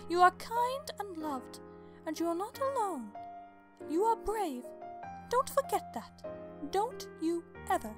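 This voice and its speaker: A woman's voice: very high voice, voice pushed up, full character voice. A woman voicing an elegant, motherly older-sister pony, refined and theatrical, in a storybook reading.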